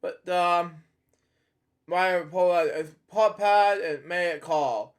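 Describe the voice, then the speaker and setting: High-voiced man reading a storybook haltingly. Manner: halting, with frequent restarts and filler comments. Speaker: host reading a children's book aloud. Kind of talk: storybook reading with rambling asides.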